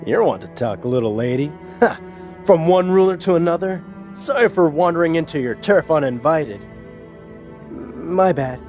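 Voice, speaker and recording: A woman's voice: low-pitched, expressive, moderate pace, a little mellow, faint music behind. A woman voicing a brash, cocky, grumbling young king in an audio drama. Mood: cocky, defiant. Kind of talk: audio drama character acting.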